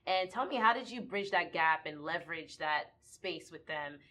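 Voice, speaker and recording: A woman's voice: fairly low pitch, animated, normal speed, pushed and bright. A woman hosting a casual interview, encouraging her guest and laughing along. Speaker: interviewer, host.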